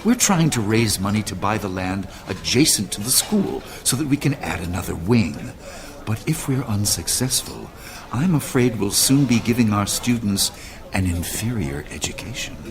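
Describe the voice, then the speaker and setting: An actor playing an earnest, courteous school leader in an audio drama, formal, measured and gravely persuasive. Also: male voice, on the low side, very animated. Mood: grave, urgent. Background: music.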